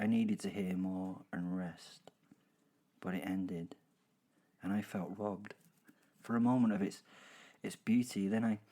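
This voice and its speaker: Male actor as a werewolf narrator, wryly sentimental yet menacing; low-leaning voice, unhurried, halting dramatic pauses. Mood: hushed awe. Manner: halting, repeats words, hushed dramatic pauses. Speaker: narrator of a horror audio drama. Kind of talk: dramatic first-person storytelling.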